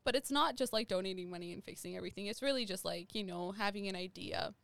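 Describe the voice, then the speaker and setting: Young woman guest, Nepal-born, loose rambling chat, smooth clear tone, dry close sound. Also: medium pitch, quick pace, fairly bright tone, no echo. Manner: rambling, many "like" fillers. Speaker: interview guest. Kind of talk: casual conversational interview.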